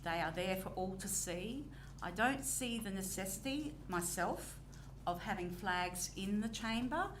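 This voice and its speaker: An Australian woman chairing a council meeting, answering public questions in a measured, deliberate way with frequent pauses. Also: medium pitch, animated. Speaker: council presiding member. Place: old council chamber. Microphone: recording microphone. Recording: noisy chamber recording.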